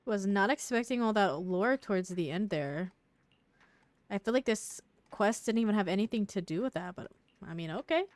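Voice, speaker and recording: A woman's voice: mid-range voice, lively intonation, normal speed, no echo. A woman streaming a game, giving casual, slangy live commentary and reacting aloud as she plays.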